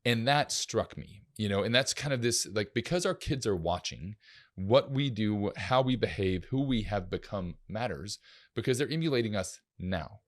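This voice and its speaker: A man's voice: lowish voice, expressive, normal speed. A man co-hosting a casual talk show, speaking in a reflective way with frequent restarts. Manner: reflective, many fillers and restarts. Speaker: podcast co-host. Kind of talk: casual chat.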